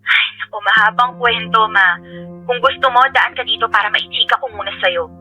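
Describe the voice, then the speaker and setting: A woman's voice: fairly high pitch, fairly quick, pushed and bright, soft background music. A woman playing a chatty young adult daughter with a Filipino accent on a telephone call in a radio drama. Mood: breezy, chatty.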